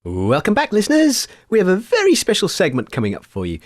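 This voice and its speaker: A woman's voice: fairly low pitch, wildly swooping pitch, fast, darkish tone, no room sound, clean studio sound. A woman co-hosting a comedy radio show in a chirpy presenter manner that often turns mock-shocked. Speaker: co-host presenter.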